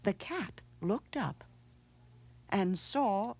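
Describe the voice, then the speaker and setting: A woman narrating a children's storybook aloud in a measured, dramatic way, with hushed pauses. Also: mid-range voice, animated, husky. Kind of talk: expressive storybook read-aloud.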